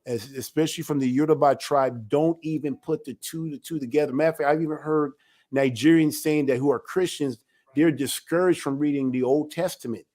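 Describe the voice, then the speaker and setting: A man, an elder of a ministry, giving a religious teaching talk in an emphatic, animated way. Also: fairly high pitch, a little fast. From United States.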